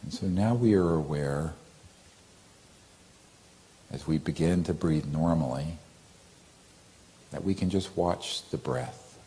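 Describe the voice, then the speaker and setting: A man with an extremely low voice guides a meditation. He speaks slowly and gently, with a mellow, relaxed tone. His delivery is calm and soothing, like a teacher giving unhurried instruction.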